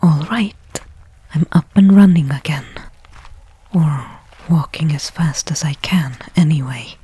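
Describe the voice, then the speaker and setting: A stranded woman explorer, voiced by an ASMR actress, murmurs to herself in a very breathy, low voice. She is slow and halting, with a soft, mellow tone. Her temper is wry, stubborn and resigned, as in an intimate sci-fi audio roleplay. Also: full character voice, low-quality recording. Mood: wry, weary. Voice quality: breathy, whispery.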